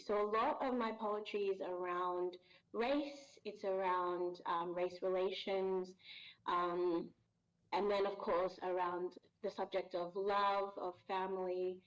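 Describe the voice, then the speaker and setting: Woman, 30s, interview guest; mid pitch, thoughtful with lots of fillers, muffled call audio. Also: steady tone, measured pace. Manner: thoughtful, hesitant, many fillers and restarts. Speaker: voice actress guest. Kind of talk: casual interview answers.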